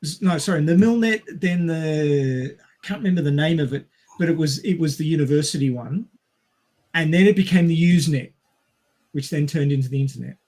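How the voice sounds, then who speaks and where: A man's voice: a little high, brisk, a little mellow. A middle-aged man, explaining things in casual podcast conversation. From Australia. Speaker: podcast co-host.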